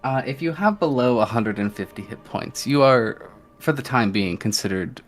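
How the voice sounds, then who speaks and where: A game master with a mid-pitched voice runs a tabletop roleplaying session. The narration is descriptive and a little hesitant, moving between scene-setting and rules. Faint music sits behind the voice. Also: expressive, normal speed.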